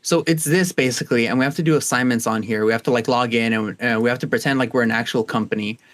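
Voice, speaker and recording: A man's voice: mid-range voice, fast talker, fairly bright tone. Rambling young man, podcast co-host. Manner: rambling, chatty, laughs easily. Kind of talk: casual chat.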